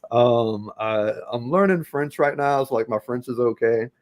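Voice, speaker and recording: A man's voice: medium pitch, unhurried. Chatty, friendly podcast guest man. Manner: friendly, chatty, with lots of "like" and laughs. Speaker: podcast guest, content creator. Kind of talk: casual interview chat.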